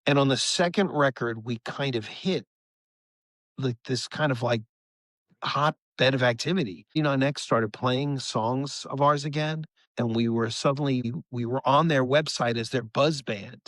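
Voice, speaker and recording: A man's voice: medium pitch, unhurried, a bit bright, dry recording. Earnest, rambling American man telling stories. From United States. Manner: earnest, rambling, repeats phrases. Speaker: rock musician, interview guest. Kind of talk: casual storytelling interview.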